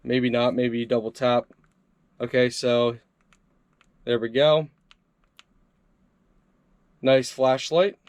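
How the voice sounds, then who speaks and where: A man's voice: medium pitch, little pitch movement, slow, pauses often, no room sound. A man doing a casual, upbeat hands-on product review, chatting to his viewers as he tries things out.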